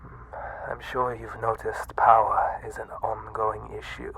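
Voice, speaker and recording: A man voicing a frail, obsessive mad scientist with a breathy, halting delivery in an ASMR horror roleplay. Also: lowish voice, monotone, a bit bright, exaggerated voice, low-quality recording. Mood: weary, grave. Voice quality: breathy, whispery. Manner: halting, theatrical. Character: weak, obsessive mad scientist doctor running a monster containment site.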